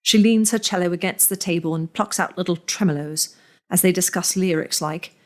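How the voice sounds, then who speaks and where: A woman narrating a first-person story in a measured, deliberate reading with lingering pauses. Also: fairly low pitch, a little mellow.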